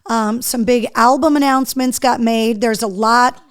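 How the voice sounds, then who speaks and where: A woman hosting a live show in casual chat, her voice hoarse, chatty and loose. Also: a little high, steady tone, normal speed. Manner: chatty, talks to her dog between remarks. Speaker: host.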